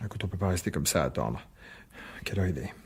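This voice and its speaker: A man's voice: low voice, animated, fast talker, vocal fry, breathy edge, exaggerated voice. An actor playing an agitated, sarcastic middle-aged divorced father in a French radio drama, biting and insistent. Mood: worried, subdued. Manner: biting, insistent, repeats himself.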